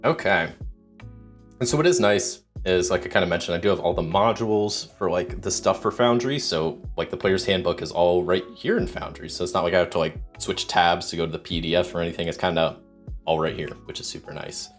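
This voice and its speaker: A man's voice: low voice, brisk, soft background music. A man streaming a solo tabletop game, chatting casually and rambling through rules with many ums while fighting off a sore throat. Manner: rambling, many ums and restarts, greets chat viewers. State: sick with sore throat, starting to lose his voice. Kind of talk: casual livestream chat explaining game rules.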